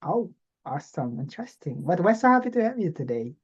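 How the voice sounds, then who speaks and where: A woman's voice: lowish voice, very animated, brisk, a little mellow, breathy edge. A woman hosting an English practice session, giving short, encouraging prompts. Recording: muffled lo-fi online call audio.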